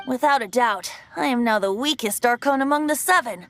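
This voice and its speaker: A woman's voice: on the high side, fairly quick, a bit bright, slight background noise, natural voice. A woman voicing a youthful, boyish male bard god in a video game, playful, airy and theatrical. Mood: bashful.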